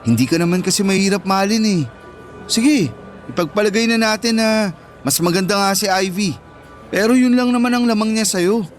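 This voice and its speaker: A man's voice: high voice, fairly quick, soft background music, natural voice. A man with a Filipino accent acting as a protective, earnest teenage boyfriend in a radio drama. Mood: tender, teasing. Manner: firm, earnest.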